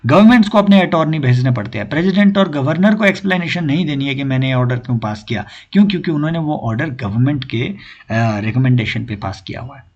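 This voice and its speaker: A young man with a hoarse throat teaching an exam-prep lecture, repeating key points and checking that his listeners follow. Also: fairly high pitch, lively intonation, dull audio. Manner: mixes Hindi with slow English phrases. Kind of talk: recorded lecture.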